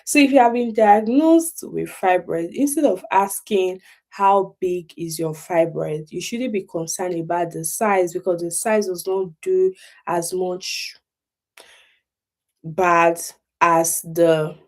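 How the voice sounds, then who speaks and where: A woman's voice: on the low side, slow pace, a little mellow. A woman with a Nigerian accent hosting a casual live explainer, talking emphatically, repeating key points and checking in with her listeners. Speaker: health talk host.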